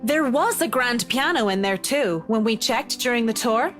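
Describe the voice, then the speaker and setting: A woman playing a polite, businesslike young interior designer in a visual novel, speaking in a tactful, measured way. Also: on the high side, lively intonation, soft background music, close to an everyday voice. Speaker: game character. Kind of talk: dramatic visual novel voice acting.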